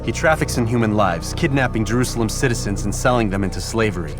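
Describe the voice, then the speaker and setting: Stoic male game assassin, played by a man: low-pitched, terse, arrogant and cool, over a music bed. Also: lively intonation, fast, close to an everyday voice. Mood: cool, confident. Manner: terse, cold, self-assured. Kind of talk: restrained video game acting.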